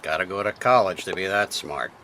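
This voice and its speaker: An actor playing a gruff, sardonic homicide detective who grumbles his way through a radio detective drama. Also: low-pitched, a little fast, pushed and bright, slight background noise. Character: gruff, sarcastic male police homicide detective.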